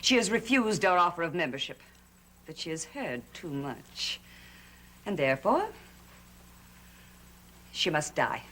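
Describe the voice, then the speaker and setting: A woman's voice: lowish voice, big pitch swings, a little slow, long pauses, fairly bright tone, full character voice. Scornful witch with sweeping intonation. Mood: cold. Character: scornful, bitter witch who once headed the coven. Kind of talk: gothic soap opera acting, theatrical.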